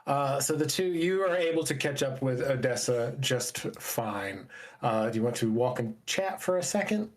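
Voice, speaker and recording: A man narrating a tabletop roleplaying game as game master, in a casual, halting way as he searches for his descriptions. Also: medium pitch, lively intonation.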